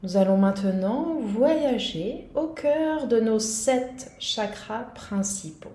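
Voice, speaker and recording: A woman guiding a yoga nidra meditation in a calm, gentle, soothing manner. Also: fairly high pitch, takes it slowly, roomy sound. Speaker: meditation guide.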